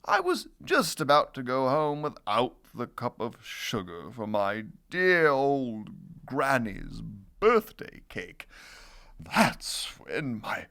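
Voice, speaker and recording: Sly folksy storybook wolf, played by a man: wildly swooping pitch, slow drawn-out delivery, theatrical and confiding, all wronged innocence. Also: fairly bright tone, full character voice. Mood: mock innocent. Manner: theatrical, drawn-out, conspiratorial asides with chuckles. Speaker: storybook narrator in character. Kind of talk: animated children's read-aloud.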